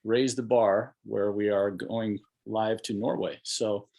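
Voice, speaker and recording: A man's voice: on the low side, steady tone, takes it slowly, vocal fry, dark tone. A middle-aged American man hosting a casual podcast interview, talking in a rambling, colloquial and enthusiastic way. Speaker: podcast host.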